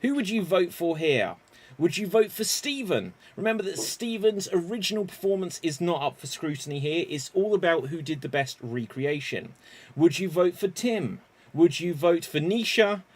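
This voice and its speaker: Man, improv game host, fairly high voice, deliberate rule explaining. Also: expressive, a little mellow. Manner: clear, deliberate explaining of rules. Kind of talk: game show hosting.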